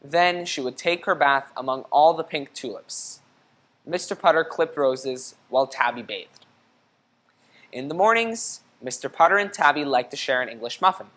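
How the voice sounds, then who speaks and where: A woman reading a children's storybook aloud in an expressive, teacherly way, pausing to put questions to young listeners. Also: sits low. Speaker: storybook reader.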